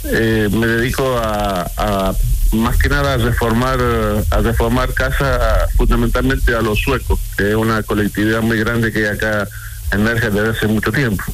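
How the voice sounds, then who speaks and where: A man's voice: fairly low pitch, steady tone, moderate pace, a bit bright. A man in his sixties with an Argentine accent, chatting casually as a caller and repeating words as he explains. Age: 60s. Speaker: radio caller, builder. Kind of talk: casual phone-in chat. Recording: noisy radio phone-in call.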